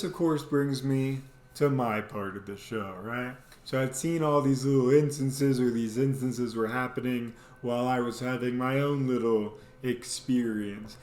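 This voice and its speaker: Male storytelling host, casual and comedic, rambling but animated delivery, roomy echoey recording. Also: medium pitch. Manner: animated, rambling, mimics people in exaggerated voices.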